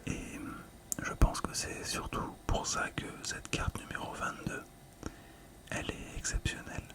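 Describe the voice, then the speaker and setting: Guy doing asmr, breathy whisper the whole time, slow gentle delivery, bit hesitant. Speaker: ASMR video creator. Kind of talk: whispered ASMR card description.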